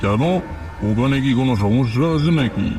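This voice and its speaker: A man's voice: medium pitch, moderate pace, music in the background, lightly acted. A man playing a fearless, conscientious postman in a horror cartoon story, with faint background noise. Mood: puzzled. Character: fearless, conscientious male postman recounting a ghostly memory. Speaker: postman character. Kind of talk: Bengali horror audio story acting.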